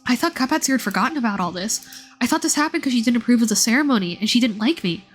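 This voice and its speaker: A woman voicing a gentle, innocent boy in a video game, earnest and full of wonder. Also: on the high side, expressive, racing, soft background music, natural voice. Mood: wistful.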